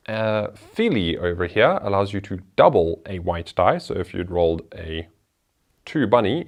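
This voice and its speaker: Lively low-voiced man explaining a board game. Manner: chatty, talks through each move aloud. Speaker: board game show host. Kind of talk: solo board game playthrough, explaining rules.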